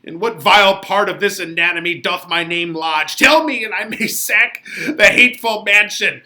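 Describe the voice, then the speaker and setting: A man's voice: high voice, moderate pace, bright, forceful tone, pitched above the performer's own voice. A man playing a lovesick, despairing young lover, declaiming Shakespeare with tearful, melodramatic anguish. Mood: desperate. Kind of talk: dramatic Shakespeare reading.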